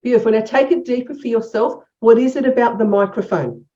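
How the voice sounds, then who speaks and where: A woman with an Australian accent leading an online workshop as a coach, energetic and encouraging, asking many questions. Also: fairly high pitch, fairly quick, muffled recording. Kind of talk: interactive online workshop teaching. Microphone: video call.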